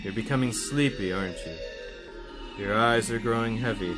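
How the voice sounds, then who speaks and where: An actor playing a vain, scheming hypnotist therapist in a radio mystery, with a deliberate, soothing yet commanding manner. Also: male voice, lowish voice, over loud music, close to an everyday voice. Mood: hypnotic, coaxing. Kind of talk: radio mystery drama acting.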